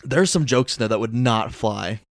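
A man in his twenties co-hosting a podcast, trading wry, deadpan banter in casual chat. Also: mid-range voice, normal speed, no echo, studio quality. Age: 20s. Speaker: podcast co-host.

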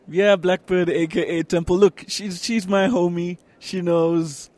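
A man's voice: high-pitched, steady tone, unhurried, a little mellow. A man chatting casually as co-host of a show. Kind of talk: casual chat.

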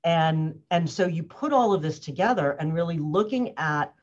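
Low-voiced American woman in her fifties, muffled. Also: expressive, normal speed. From United States. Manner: earnest and reflective, with frequent laughs and fillers. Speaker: guest, organizational wellness consultant. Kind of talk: conversational interview answers. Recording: muffled lo-fi audio.

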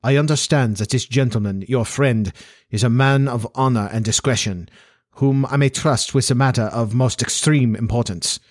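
A man's voice: medium pitch, normal speed, no room sound, clean studio sound, natural voice. A man voicing a large, haughty and agitated Bohemian nobleman in a storybook, speaking in a pompous, formal way.

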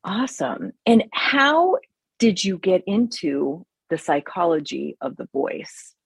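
A woman's voice: on the low side, big pitch swings, takes it slowly, creaky, breathy edge, dry recording. A woman hosting a podcast, introducing her guest and asking interview questions.

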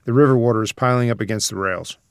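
A man's voice: mid-range voice, quick pace, clean tone, no echo, studio quality. A man reading out screenplay scene headings and stage directions as a scripted narrator.

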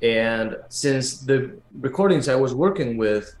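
Argentine-accented male musician, native Spanish speaker, halting conversational interview guest, mid-range voice, roomy echo. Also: mid-range voice, lively intonation. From Argentina, raised in Buenos Aires. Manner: halting, repeats words as they search for phrasing. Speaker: musician guest, pianist and teacher. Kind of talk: conversational interview answers, telling stories. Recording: echoey room sound.

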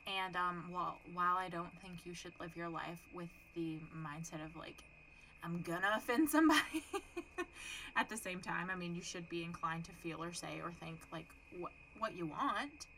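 A young woman with a Texan accent, talking in a casual, frank way and dropping the odd swear word. Her voice is bright and forceful, sitting a little low in pitch. Faint noise hums behind her. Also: normal speed, low-quality recording. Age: young adult. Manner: chatty, frank, swears casually, laughs often. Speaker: podcast co-host. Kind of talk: casual chat.

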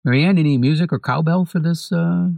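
A man hosting a podcast, reading a scripted introduction to a segment in a measured way. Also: fairly high pitch, gravelly, darkish tone, slight background noise. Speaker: podcast host.

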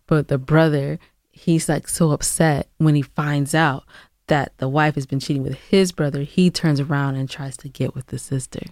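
A woman with a low-pitched voice co-hosts a casual advice discussion. She sounds earnest and opinionated as she spells out her points, and the recording is dry, with little room sound. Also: steady tone, normal speed, a little mellow, no room sound. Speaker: podcast co-host giving advice.

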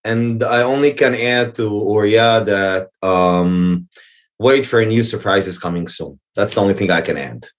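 A man chatting casually as an interview guest, halting and repeating his phrases. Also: fairly low pitch. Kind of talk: casual chat.

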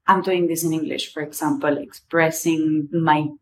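A woman's voice: low-pitched, level tone, moderate pace, slight background noise. A woman in her twenties with an Argentinian accent, a native Spanish speaker, talking candidly and rambling in a personal podcast monologue. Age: 20s. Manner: candid, rambling, swears casually. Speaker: podcast host.

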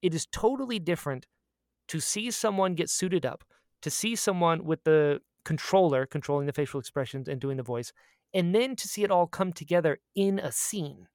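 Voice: medium pitch, moderate pace, a little mellow, dry recording. Casual podcast co-host, androgynous mid-range voice, gushing and anecdotal, dry recording. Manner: gushing fan enthusiasm, repeats phrases for emphasis; acts out quoted exchanges in storytelling. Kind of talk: casual chatty podcast catch-up.

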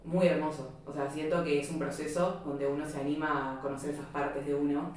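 A woman from Buenos Aires with an Argentine Rioplatense accent, hosting a podcast and sharing her experience in a reflective, personal way, often pausing to search for words. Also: sits low, level tone, darkish tone. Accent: Argentine Rioplatense Spanish. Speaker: podcast host. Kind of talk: casual interview chat. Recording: very echoey room, distant mic.

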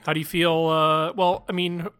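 A man's voice: fairly high pitch, level tone, moderate pace, a bit bright, smooth. A man co-hosting a podcast in casual comedic chat, with a nasal voice congested as if by a cold. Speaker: podcast co-host.

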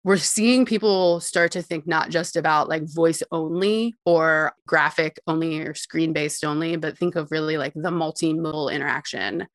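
Low-voiced woman explaining tech ideas. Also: normal speed, hardly any pauses, dry recording, clean studio sound. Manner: explanatory, with frequent light laughs. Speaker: guest expert on voice interfaces. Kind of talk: conversational interview answers.

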